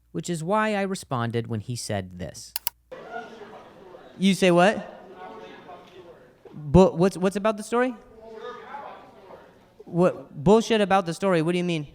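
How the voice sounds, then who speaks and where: A stand-up comedian in his thirties speaks with a noticeable stutter. His voice sits high, and he grows animated and exasperated as he works the room. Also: unhurried, pauses often. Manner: animated, exasperated, escalating outbursts. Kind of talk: stand-up comedy and video narration.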